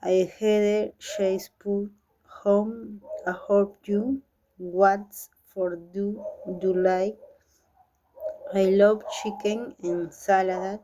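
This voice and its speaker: A young woman from Peru with a Peruvian Spanish accent, a Spanish speaker and language student, reading a practice dialogue aloud haltingly. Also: mid-range voice, little pitch movement, warm tone, a little hiss or hum, poor audio. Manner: halting, reads haltingly with restarts.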